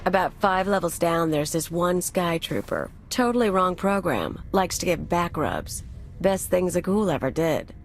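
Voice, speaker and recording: Video game role: sardonic female bomber and saboteur, low register, irreverent, blunt delivery over music. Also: lively intonation, a little slow. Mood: dry, amused. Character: brash, sarcastic anarchist female bomber and saboteur.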